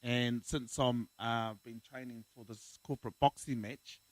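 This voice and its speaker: Casting: young New guy, pumped-up laughing banter, mid-pitched voice. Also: steady tone, measured pace, no echo, rough recording. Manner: laughing, hyped-up banter with slang like "bro". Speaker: guest. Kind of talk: casual podcast interview.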